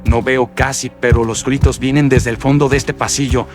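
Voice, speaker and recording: A man's voice: mid-range voice, little pitch movement, moderate pace, pitched above the performer's own voice, natural voice. A man voicing a frightened but brave young man in a horror radio drama, talking to himself melodramatically. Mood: anxious, resolute. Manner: melodramatic, exclamatory self-talk. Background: loud atmospheric music.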